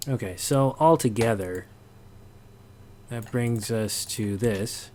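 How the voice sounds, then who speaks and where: Guy with a lowish voice walking thru his sound design, unsure, thinking out loud, bit of background noise. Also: takes it slowly. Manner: hesitant, thinking aloud, throat-clearing. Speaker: sound designer presenting a tutorial. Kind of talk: casual screen-recorded walkthrough.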